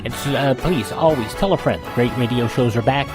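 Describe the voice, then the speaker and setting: A man hosting a classic radio podcast, wrapping up with relaxed plugs. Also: medium pitch, a little fast. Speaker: podcast host. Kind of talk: casual closing announcement. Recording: voice over music bed.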